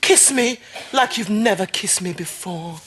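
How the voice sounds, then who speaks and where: A woman's voice: mid-range voice, lively intonation, measured pace, very noisy, close to an everyday voice. An actress playing a playful, theatrical young woman in a sitcom.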